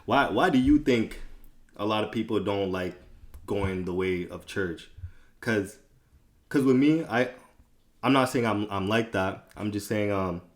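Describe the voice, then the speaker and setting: A man hosting a casual podcast interview, asking questions loosely and repeating words as he starts. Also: fairly low pitch. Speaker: podcast host.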